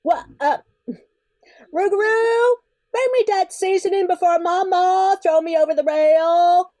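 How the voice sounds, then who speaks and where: Woman playing a very high-voiced teenage girl. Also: takes it slowly, warm tone. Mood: cheeky, eager. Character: fifteen-year-old Cajun girl, sassy granddaughter. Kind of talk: amateur radio play acting.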